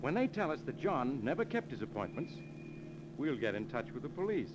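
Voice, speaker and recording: Male actor as cool, commanding schemer in an old radio mystery; animated delivery, clearly noisy vintage recording. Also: medium pitch, fairly quick, lightly acted. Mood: smug, calculating. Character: scheming, self-assured male murderer and secret lover. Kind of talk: 1940s radio mystery acting.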